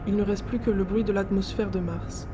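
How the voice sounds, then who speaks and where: Actress as female medical officer, formal and mournful, clear music bed behind, science fiction audio drama. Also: mid-range voice, steady tone, brisk, lightly acted. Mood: solemn grief. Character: female ship's doctor, solemn, grieving, formal. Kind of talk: amateur audio drama, recorded log.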